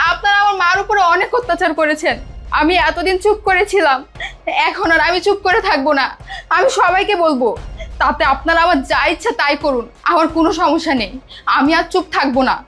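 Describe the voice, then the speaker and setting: Woman as a grieving young daughter, high-voiced and emotional. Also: brisk, bright, forceful tone, soft background music. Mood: defiant. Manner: emotional, pleading.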